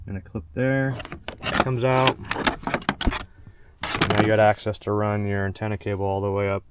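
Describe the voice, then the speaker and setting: A man narrating a casual how-to while working in and around a parked truck, plain-spoken and instructional, in short practical phrases. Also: lowish voice. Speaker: presenter of a truck installation how-to. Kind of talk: casual hands-on tutorial narration. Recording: clear background noise, clicks of handling.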